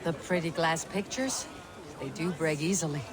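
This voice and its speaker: A woman playing a stoic, direct and courteous Viking warrior in a video game, speaking in a measured way. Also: sits low, a crowd in the background, natural voice. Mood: calm. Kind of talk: video game character dialogue. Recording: loud music behind the voice.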